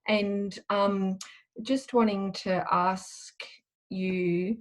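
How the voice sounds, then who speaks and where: A woman's voice: mid-range voice, fairly even intonation, drawn-out words. A woman hosting an online workshop, asking the panel thoughtful questions. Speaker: workshop host. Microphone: video call.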